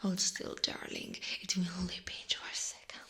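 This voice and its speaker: A woman voicing a giant, possessive, seductive spider monster in a breathy, whispered ASMR roleplay. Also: fairly low pitch, huge pitch swings, a bit bright, heavily acted. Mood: soothing menace. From Italy. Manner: breathy whispering, slow and deliberate.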